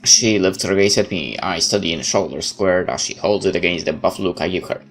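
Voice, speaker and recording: A man's voice: sits low, fairly quick. A man doing a let's play, reading a game's story text aloud with casual asides. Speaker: game streamer reading aloud. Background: game music.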